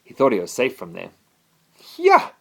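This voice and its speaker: A man's voice: fairly low pitch, lively intonation, measured pace, a bit bright. A man reading a children's bedtime story expressively, as a father to his child.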